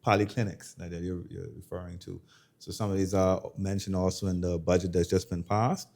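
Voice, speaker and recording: A man's voice: deep voice, little pitch movement, brisk, vocal fry, darkish tone. A man hosting a talk show, asking questions in a casual, affirming way with lots of repeated words. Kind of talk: casual interview chat.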